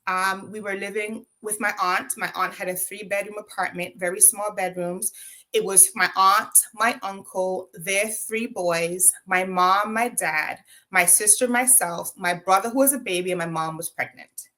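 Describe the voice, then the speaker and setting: A woman's voice: medium pitch, fairly even intonation, measured pace, bright, forceful tone. A woman from Jamaica with a Jamaican accent, telling her own story as an interview guest, reflective, with frequent ums and tag questions.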